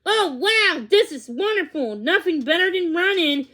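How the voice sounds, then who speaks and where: A gleeful young border collie voiced by a woman in a storybook. The voice is high, happy and playful. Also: expressive, measured pace, bright, forceful tone, exaggerated voice. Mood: joyful.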